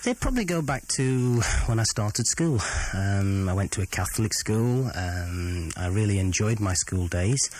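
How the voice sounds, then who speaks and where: A man's voice: on the low side, animated, measured pace, hardly any pauses, a little mellow, low-quality recording. A middle-aged man reminisces in an interview. He speaks hesitantly, stopping often to gather the next phrase. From England (Sheffield). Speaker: interviewee, business owner. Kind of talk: reminiscing in an oral history interview.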